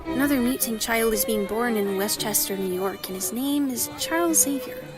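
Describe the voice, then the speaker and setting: Serene female seer for audio drama, acted by a woman, higher register, loving and measured, music bed. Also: very animated. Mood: solemn, prophetic. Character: blind woman seer, calm, loving, portentous.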